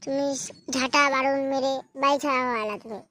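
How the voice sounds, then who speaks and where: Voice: high-pitched, fairly even intonation, bright, forceful tone, slight background noise. A performer voicing a grieving village mother in a comic cartoon, wailing and reproachful. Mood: tearful reproach. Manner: wailing, drawn-out lamenting and reproachful. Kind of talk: amateur comic cartoon dubbing.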